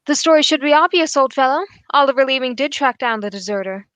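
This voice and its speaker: A woman plays a shrewd gentleman detective in an old-style radio drama. The voice is high and recorded close and dry. The delivery is composed and formal, setting out each point in measured phrases. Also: animated, dry recording, muffled recording. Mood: confident, accusing.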